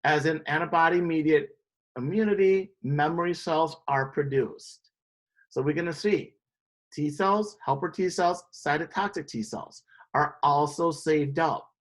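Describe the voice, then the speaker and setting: This is an instructor from Indonesia teaching a live class. The delivery is explanatory and steady, pausing often to check in with the students before moving on. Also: medium pitch, poor audio. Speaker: biology instructor. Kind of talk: classroom lecture.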